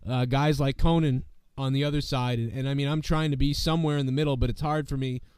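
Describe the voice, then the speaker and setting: A man's voice: mid-range voice, steady tone, fast, a little mellow, smooth, dry recording. Male wrestling-show host, under the weather, loose and profane, keeps saying "you know what I mean", dry close sound. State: sick, losing his voice. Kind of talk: casual profane show commentary.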